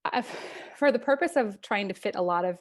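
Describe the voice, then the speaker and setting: Woman, host, stuttering, everyday pitch, video call. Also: lively intonation, brisk. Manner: stutters often on word starts. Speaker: event host. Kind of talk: welcoming speakers at an online event.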